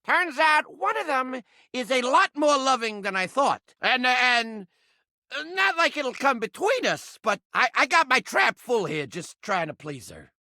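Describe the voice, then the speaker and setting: A touchy little mouse trap brought to life, with a piercing, bright voice recorded very dry. He is a brash, streetwise video game cartoon character who gripes in crude slang and flips between insult and bashfulness. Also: very high-pitched, very animated, measured pace, no echo, full character voice. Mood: nervous, smitten. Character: foul-mouthed, touchy, lovelorn male mouse trap come to life.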